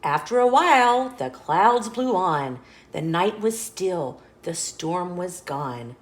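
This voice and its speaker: A woman reading a children's picture book aloud as an expressive, measured storyteller. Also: fairly low pitch. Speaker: storyteller, host of a children's read-along show. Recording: roomy echo.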